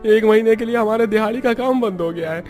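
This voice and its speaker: A man acts out a dejected young village labourer in a cartoon. His voice is high for a man and sounds downcast and complaining, over light music. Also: fast, a little mellow, close to an everyday voice. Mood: worried.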